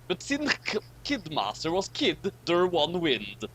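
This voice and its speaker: A man's voice: on the high side, wildly swooping pitch, unhurried, noisy background. A man doing a dramatic, comic reading of badly written fan fiction, often stumbling over the wording.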